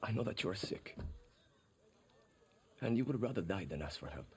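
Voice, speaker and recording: A level-headed young guerrilla fighter in a game. His low voice stays calm and even, touched with dry humor, over faint background noise. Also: normal speed, vocal fry. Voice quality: calm and even, lightly wry. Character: steady young male guerrilla recruit, calm, wry.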